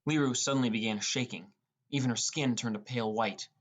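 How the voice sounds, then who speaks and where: A man's voice: mid-range voice, brisk. A man reading a scripted first-person story as an audiobook narrator.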